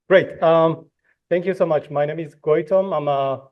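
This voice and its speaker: A man's voice: fairly high pitch, unhurried, highs cut off. A man from Ethiopia moderating a conference panel, speaking with frequent fillers and restarts. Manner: hesitant, many fillers and restarts. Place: conference hall.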